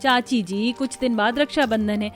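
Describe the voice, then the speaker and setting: Woman as caring young female relative, cartoon story, highish pitch, soothing, respectful, eager, soft musical backing. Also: steady tone, normal speed, faint music behind, close to an everyday voice. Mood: respectful, affectionate. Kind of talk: Hindi cartoon story acting.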